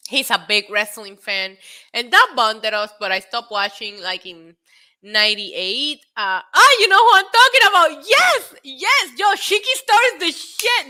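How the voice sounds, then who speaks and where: A woman in her late thirties hosting a casual wrestling live stream, excitable and a little tipsy, laughing, swearing and dropping in Spanish phrases as she answers chat. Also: sits high. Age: 30s. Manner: frequent laughter and profanity. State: tipsy from drinks. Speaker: wrestling show host.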